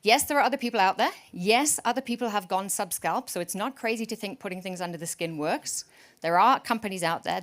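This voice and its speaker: Upbeat woman pitching from a stage. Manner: energetic, joking salesy pitch. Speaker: startup CEO pitching to investors. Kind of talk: conference pitch talk. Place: conference stage.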